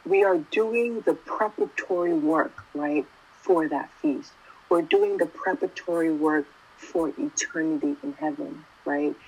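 A woman's voice: sits low, measured pace, a little mellow, breathy edge, low-quality recording. A woman in her twenties giving a casual sermon, talking conversationally and often asking "right?". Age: 20s. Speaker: youth preacher.

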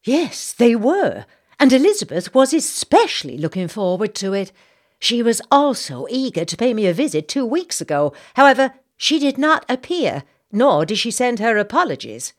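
A woman's voice: a little high, big pitch swings, normal speed, pitched above the performer's own voice. A woman voicing a fretful, bossy, gossipy Southern society matron in an audiobook, with an American Southern accent and an indignant, insistent manner. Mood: gossipy disdain.

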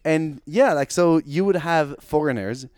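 Relaxed Italian man, smooth voice. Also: fairly high pitch, a little slow, dry recording, clean studio sound. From Italy. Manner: relaxed storytelling, frequent "like" fillers, laughs easily. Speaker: podcast guest. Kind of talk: casual chat.

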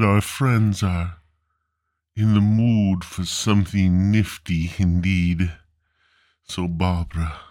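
A man hosting a solo comedy podcast, rambling casually and crudely with drawn-out, mock-grandiose theatrical delivery. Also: on the low side, no echo, clean studio sound. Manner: crude, foul-mouthed. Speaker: podcast host.

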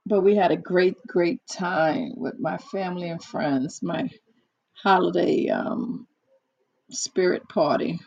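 A hoarse woman in casual one-on-one chat, talking haltingly with many fillers and restarts. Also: lowish voice, steady tone, darkish tone, dry recording, lo-fi sound. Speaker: chat partner.

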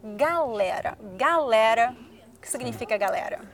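Brazilian woman host, high pitch, patient and clear with learners. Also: animated, fairly bright tone. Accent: Brazilian Portuguese. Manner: slow, clearly spelled-out words for learners. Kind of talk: casual language lesson chat.

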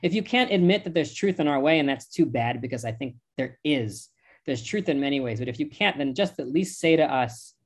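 A man's voice: mid-range voice, animated, a little fast. Emphatic American-accented man, rabbi, interview guest. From United States. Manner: impassioned, emphatic.

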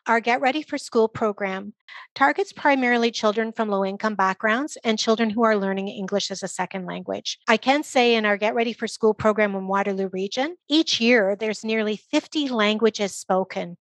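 A woman's voice: medium pitch, moderate pace, no echo. A woman giving organized, informative answers as an interview guest. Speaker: podcast guest, literacy program director.

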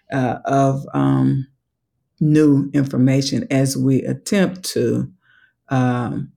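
Gravelly Chicago man with a Southern twang. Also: medium pitch, dark tone. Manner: thoughtful, enthusiastic, laughs easily. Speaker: museum education director, guest. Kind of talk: conversational interview.

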